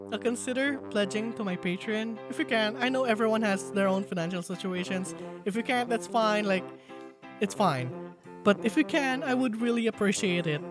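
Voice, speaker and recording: A woman narrating a scripted video game review in an earnest, chatty way on a failing home microphone. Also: medium pitch. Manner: earnest, chatty, a little rambling at the end. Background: background music.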